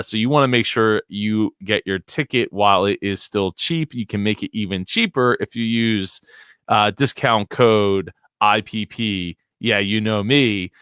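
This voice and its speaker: A man hosts a live podcast stream in a loose, chatty way and keeps restarting his sentences. His voice is bright and smooth, and a little low. The sound is dry and close. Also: no room sound. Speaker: podcast host.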